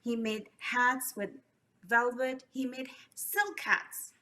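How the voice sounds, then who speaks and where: High, slow-telling woman storyteller. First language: Urdu. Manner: animated, expressive, addresses the children. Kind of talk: children's storytelling. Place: library event.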